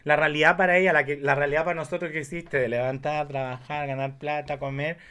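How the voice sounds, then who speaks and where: A man chatting casually about films, telling things in an animated way with emphatic asides. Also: on the high side, quick pace, clear, resonant tone. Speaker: guest film enthusiast. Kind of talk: casual film chat.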